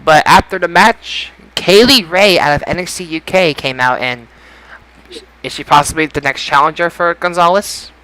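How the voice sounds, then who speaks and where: A man's voice: fairly high pitch, moderate pace, bright, forceful tone, clear, resonant tone, poor audio. A man hosting a casual sports results discussion on a podcast. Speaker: podcast host.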